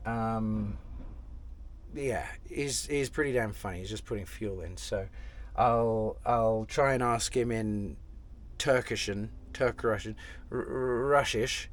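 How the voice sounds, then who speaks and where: A man's voice: fairly low pitch, slow, a little hiss or hum. Rambling young man vlogging from a truck. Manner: rambling, chatty, many fillers and restarts. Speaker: travel vlogger. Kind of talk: casual travel vlog to camera. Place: inside a parked truck cab.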